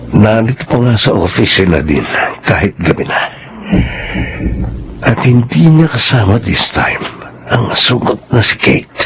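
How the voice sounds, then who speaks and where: Scheming hothead, swinging pitch. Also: male voice, animated, unhurried, vocal fry, long pauses, noisy background. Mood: sinister, gloating. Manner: menacing, arrogant. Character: hot-tempered, scheming rich young man, an obsessive suitor. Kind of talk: Filipino radio drama acting.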